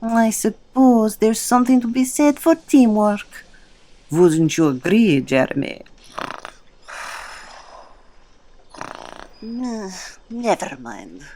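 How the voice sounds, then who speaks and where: A woman's voice: a little high, lively intonation, slow, background noise. A woman playing a formal, sardonic, commanding leader in an audio drama.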